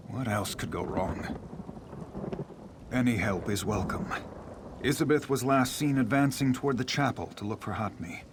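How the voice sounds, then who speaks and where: A male actor plays a beleaguered army commander in a fantasy game. He is grave and measured, weary yet resolute, with faint storm noise behind him. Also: medium pitch, lightly acted. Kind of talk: video game character acting.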